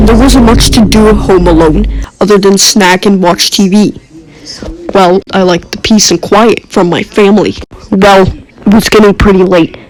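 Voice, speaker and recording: A male storyteller with a notably high voice. He reads scripted first-person narration for a comic horror tale. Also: background noise.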